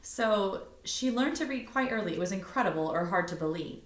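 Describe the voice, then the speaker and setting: A woman reading a picture book aloud like a teacher, expressively, pausing to explain things and put questions to young listeners. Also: mid-range voice, expressive. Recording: roomy, echoing indoor sound.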